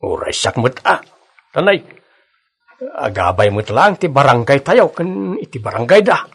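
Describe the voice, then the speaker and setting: A man playing a lively, teasing older village father in an Ilocano radio drama, chatty and expressive, with an Ilocano accent. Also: mid-range voice, unhurried, gravelly, breathy edge, heavily acted. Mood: nosy, bantering.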